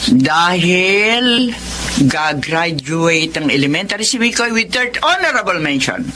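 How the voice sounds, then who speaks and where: Radio drama warm-hearted, teasing grandmother: very animated medium-pitched female voice, exclamatory, audible background noise. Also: takes it slowly, full character voice. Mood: excited, teasing. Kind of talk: Tagalog radio drama acting. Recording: noisy radio drama with ambient sound.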